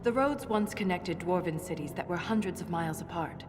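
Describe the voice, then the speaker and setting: An actress playing an earnest dwarven scholar in a video game, speaking in a measured, scholarly way. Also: female voice, on the low side, lightly acted. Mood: composed. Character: earnest female dwarven scholar exploring underground ruins. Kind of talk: video game cutscene acting. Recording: long cavernous reverb, music underneath.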